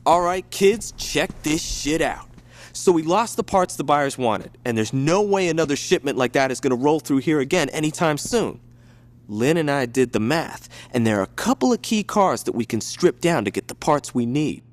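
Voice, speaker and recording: A man's voice: on the high side, lively intonation, moderate pace, close to an everyday voice. A man playing a flaky, stoned mechanic in a video game, talking loosely and stammering. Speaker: mechanic, car parts dealer. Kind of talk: video game cutscene acting.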